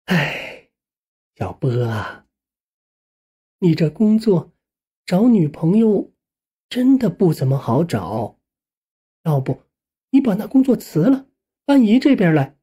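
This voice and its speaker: A narrator voicing a caring, worried middle-aged aunt in an audiobook, gently coaxing. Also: mid-range voice, very animated, slow, long pauses, slightly rough, exaggerated voice.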